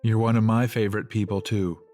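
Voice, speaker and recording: A man playing a mellow, laid-back, sincere survivor in a radio play. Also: fairly low pitch, level tone, brisk, faint music behind, lightly acted. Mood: sympathetic.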